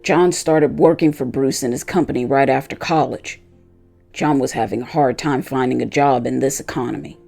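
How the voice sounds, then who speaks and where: Narrator playing vengeful pet dog, first-person audio story, creaky low-lit mellow tone, deliberate phrasing, music underneath. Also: lightly acted. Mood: recounting. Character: vengeful, loyal pet dog telling his own story.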